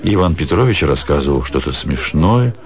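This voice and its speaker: Deep-voiced male storyteller. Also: big pitch swings, moderate pace, crowd noise. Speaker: narrator, actor. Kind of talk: dramatised literary narration.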